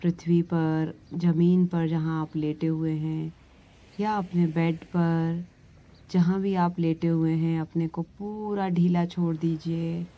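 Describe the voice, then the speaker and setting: Female meditation guide. Very slow, soothing, mellow delivery with long pauses; slightly muffled recording. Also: lowish voice, level tone. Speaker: yoga nidra meditation instructor.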